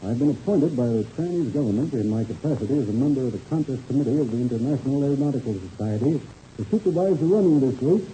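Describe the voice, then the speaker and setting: A man's voice: medium pitch, expressive, rapid-fire, warm tone, lightly acted. Man playing a formal race official, very muted tone. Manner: formal, precise, authoritative. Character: formal male air-race official, orderly and firm. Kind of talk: 1930s radio serial acting. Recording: muffled, hissy old radio recording.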